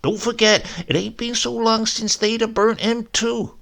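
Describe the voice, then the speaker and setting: A man voicing a gruff, unrepentant old countryman who tells tall tales in thick rustic speech. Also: high-pitched, level tone, a little slow, fairly bright tone, voice pushed up, heavily acted. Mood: gruff defiant. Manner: dialect-heavy, crusty, grumbling. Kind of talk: storybook reading.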